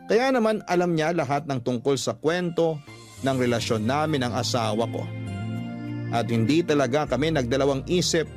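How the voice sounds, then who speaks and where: A man narrates a personal letter in a radio storytelling style. His voice sits at a middle pitch, with soft music playing faintly behind it. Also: expressive, moderate pace. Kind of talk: scripted narration of a confessional love story.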